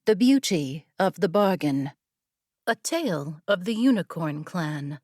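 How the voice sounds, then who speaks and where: A woman narrates fiction with a highly varied pitch and an unhurried pace. Her storytelling is measured and expressive, in a very dry recording. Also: dry recording. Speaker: audiobook narrator.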